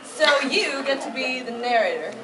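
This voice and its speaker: A high-pitched woman hosts a fan convention panel in an informal, bantering way. She is recorded from a distance in an echoey room. A crowd is audible around her. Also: lively intonation, moderate pace. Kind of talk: playful live panel banter. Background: audience chatter.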